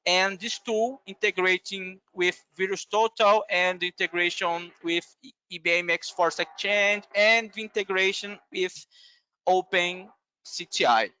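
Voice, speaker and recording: A man whose native language is Portuguese, giving a webinar talk haltingly with many filler sounds over a video call. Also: sits high, fairly even intonation, bright, forceful tone, clean tone, dull audio. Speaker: guest speaker.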